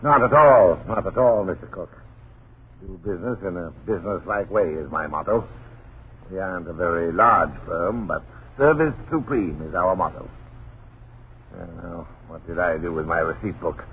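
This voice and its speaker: A man's voice: low-pitched, animated, normal speed. A fussy male rental agent in an old radio play. He stammers and repeats himself with officious, evasive nervousness. The sound is thin and narrow, with a light layer of noise. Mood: fussy, businesslike. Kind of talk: vintage radio drama acting. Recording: tinny, noisy old radio broadcast.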